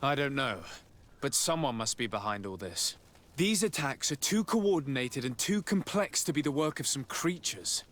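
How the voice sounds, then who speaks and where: A man voicing a polite, resolute noble envoy in a video game, speaking in a formal, measured way. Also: medium pitch, expressive, a bit bright, lightly acted. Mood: grave, resolute. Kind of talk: video game cutscene acting.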